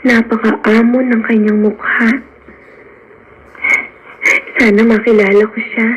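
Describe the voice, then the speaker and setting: Gentle young heiress, noisy recording. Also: female voice, fairly high pitch, flat delivery, slow. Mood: wondering, smitten. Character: gentle, obedient young hacienda heiress, dreamy. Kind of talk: Filipino radio drama acting. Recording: noisy radio broadcast.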